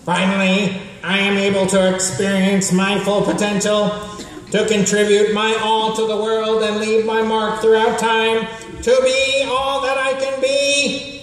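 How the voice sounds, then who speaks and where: A man in a comic radio play voicing a grandiose, gleefully destructive clockwork machine, speaking theatrically and deliberately. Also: sits high, monotone, fairly bright tone, faint music behind. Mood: grandly self-important. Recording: echoey hall, distant mic, faint noise.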